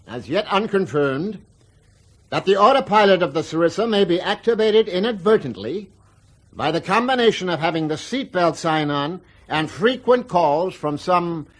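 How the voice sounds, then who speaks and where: High-voiced, reassuring company spokesman. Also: expressive, rough recording. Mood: reassuring, placating. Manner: measured, formal explaining with hedged emphasis. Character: smooth, reassuring male aircraft company spokesman, defensive. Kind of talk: radio drama acting.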